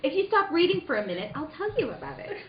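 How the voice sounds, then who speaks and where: A woman's voice: sits high, very animated, quick pace, crowd noise. A woman playing an anxious, earnest wife troubled by nightmares in a comic old-time radio drama read. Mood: uneasy, chiding. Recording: roomy, muffled, noisy live room recording.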